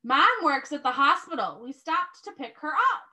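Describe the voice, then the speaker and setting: Animated, high-voiced woman teaching young children. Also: moderate pace. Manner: expressive, instructive. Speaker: first grade teacher. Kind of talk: children's read-aloud with questions to pupils.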